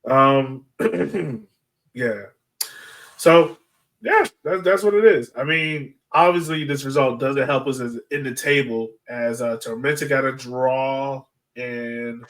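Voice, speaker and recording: A man with a medium-pitched voice talks as a podcast co-host. He gives sports analysis in an informal, conversational style. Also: fairly bright tone, rough recording.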